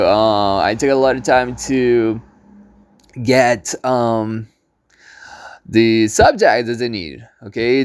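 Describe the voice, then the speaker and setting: A young man born in Brazil talks through his life update in a loose, rambling way. He leans on fillers and restarts as he goes, and light laughs slip into his speech. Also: medium pitch. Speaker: vlogger and online teacher. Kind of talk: casual vlog monologue.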